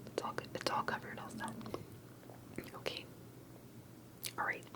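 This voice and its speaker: A woman speaking in a breathy, unvoiced whisper, gently and hesitantly putting questions in an ASMR roleplay interview, with soft unhurried pauses. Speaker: ASMR performer playing an interviewer.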